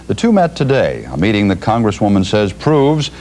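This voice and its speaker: A man's voice: lowish voice, sing-song, moderate pace, a little mellow. An American man anchoring an evening television newscast in a scripted news delivery, on a noisy eighties broadcast tape. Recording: noisy 1980s TV broadcast videotape.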